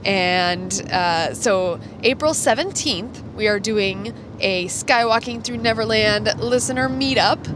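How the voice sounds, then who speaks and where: A woman's voice: mid-range voice, takes it slowly, hardly any pauses. A woman co-hosting a podcast in casual, enthusiastic chat, recorded inside a car as she drives. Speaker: podcast co-host. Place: inside a moving car, while driving. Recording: clear car road noise behind voice. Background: vehicle and road noise.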